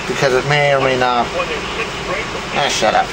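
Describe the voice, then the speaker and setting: A man's voice: steady tone, normal speed. Offhand man with noisy background hum. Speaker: video host showing vintage electronics. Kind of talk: casual unscripted demonstration. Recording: clear background hum and rumble noise.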